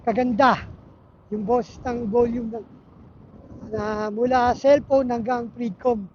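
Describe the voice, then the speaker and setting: A Filipino motovlogger talking casually and haltingly while riding a motorcycle, speaking through a helmet intercom. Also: medium pitch, steady tone. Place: on a motorcycle outdoors in traffic. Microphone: Bluetooth helmet intercom microphone. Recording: muffled helmet intercom with loud road noise. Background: vehicle and road noise.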